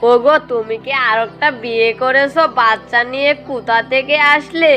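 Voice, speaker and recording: Woman voicing a high, bright ghost wife. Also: moderate pace, faint music behind. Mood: insistent questioning. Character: ghost's wife, questioning, then accepting homemaker. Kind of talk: children's cartoon dialogue.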